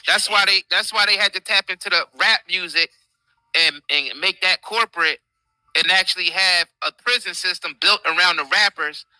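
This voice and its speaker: A man's voice: high-pitched, normal speed, bright, forceful tone, dry recording, low-quality recording. An American man hosting an impassioned livestream commentary in emphatic, repetitive, informal slang, close on the mic. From United States. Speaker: show host. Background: faint background music.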